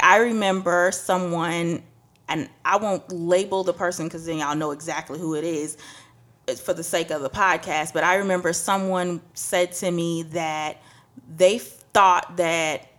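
A young woman from Trinidad telling a personal story candidly on a podcast, getting animated when she quotes people. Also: fairly low pitch, unhurried. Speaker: podcast co-host. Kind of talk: casual podcast chat.

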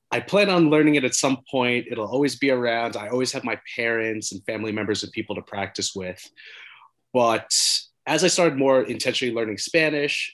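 Eager man, interview guest, low voice. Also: a bit bright. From New York City. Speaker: guest, doctor. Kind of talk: podcast interview.

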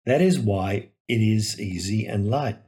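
A low-voiced man delivers a Gospel homily. He speaks very slowly and solemnly, pausing between deliberate phrases like a preacher reading a prepared reflection. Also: darkish tone. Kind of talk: reflective scripted sermon.

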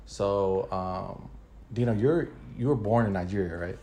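A man's voice: lowish voice, unhurried, dark tone, roomy sound, slight background noise. A man hosting a casual interview, asking questions loosely, with lots of "like.". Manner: casual, uses "like" often. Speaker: host.

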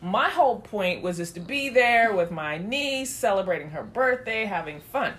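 A woman's voice: medium pitch, lively intonation, normal speed, fairly bright tone. Earnest woman telling a story. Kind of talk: casual podcast chat.

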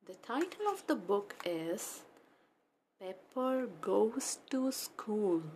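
Very slow, animated woman storyteller. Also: mid-range voice. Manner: addresses young children directly. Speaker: storyteller and host for children. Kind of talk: children's storytelling. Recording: faint background noise.

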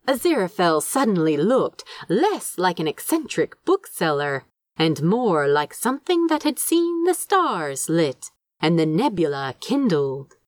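A woman reading a story aloud as an audiobook narrator, measured and expressive in her scripted delivery. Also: lowish voice, no echo, clean studio sound. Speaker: fan fiction audiobook narrator.

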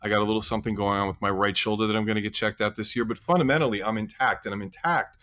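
A man's voice: on the low side, very fast, fairly bright tone. A man in his sixties, a healthcare practitioner, talking emphatically and bluntly in a casual podcast conversation. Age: 60s.

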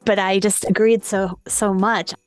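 A woman's voice: mid-range voice, flat delivery, a little slow, clear, resonant tone, dry recording, faint music behind. A woman hosting a podcast, presenting and discussing interview clips. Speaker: podcast host.

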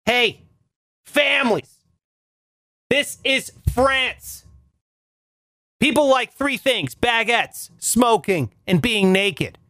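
A man in his thirties with a reedy, nasal voice hosting a comedic solo podcast, swinging between high exclamations and low mock-soft asides. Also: drawn-out words, pauses often, dry recording, studio quality. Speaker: podcast host.

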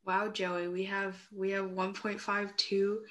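A woman's voice: mid-range voice, level tone, takes it slowly, fairly bright tone. A young woman speaking casually as part of a student group discussion.

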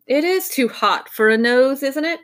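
A woman voicing a silly, vague, mournful male storybook creature with a drawn-out, absent-minded, sing-song delivery. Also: sits high, expressive, voice pushed up. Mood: solemnly silly.

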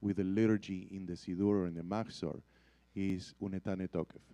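Male study-class speaker: soft-edged low voice, deliberate delivery of quotations, close dry recording. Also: little pitch movement, no room sound. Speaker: class participant who chants liturgy. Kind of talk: deliberate discussion, quoting Hebrew liturgy.

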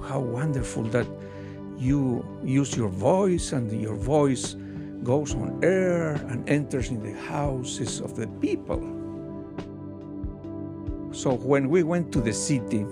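An older man from Argentina, recounting his childhood as a guest in an interview with soft laughs. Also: mid-range voice. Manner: unhurried, warm recollection with soft laughs. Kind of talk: interview storytelling.

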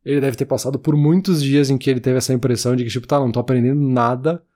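A man, co-hosting a podcast in relaxed casual chat, full of fillers. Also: mid-range voice, racing. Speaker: podcast co-host.

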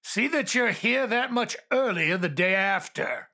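Miserly old boss, contemptuous, curt, forceful tone, very animated pitch, radio play. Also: unhurried. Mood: grudging, sarcastic. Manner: curt, sneering, dismissive. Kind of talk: comedic radio drama acting.

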